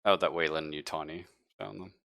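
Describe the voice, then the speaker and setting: A man's voice: a bass voice, fairly even intonation, brisk, gravelly, sharp tone, no room sound. A man acting as game master, narrating and chatting casually in an easygoing, accommodating way. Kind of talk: casual tabletop game narration and table chat.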